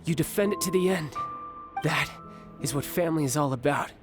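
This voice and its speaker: A man's voice: on the high side, fairly quick, lightly acted. A young man voicing a cocky, playful warrior and protective big brother in a video game, breezy and teasing. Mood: earnest. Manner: breezy, teasing, self-assured. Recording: music behind the voice.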